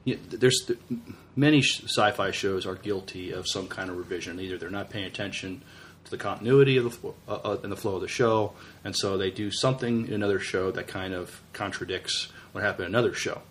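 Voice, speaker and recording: Male co-host, deep register, tentative and stop-start casual chat, slight noise behind. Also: fairly quick, fairly bright tone. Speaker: podcast co-host. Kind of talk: casual sci-fi discussion.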